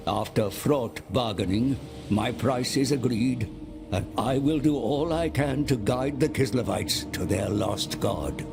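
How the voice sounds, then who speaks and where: An actor playing a mysterious, scheming advisor who narrates a video game cinematic in a grave, formal, deliberate manner. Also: male voice, medium pitch, expressive, creaky, over music. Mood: grave, counselling.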